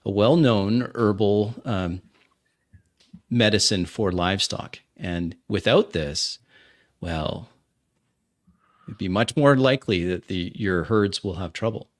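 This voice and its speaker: Man, tabletop player, lowish voice, in-character improvisation, deliberate phrasing.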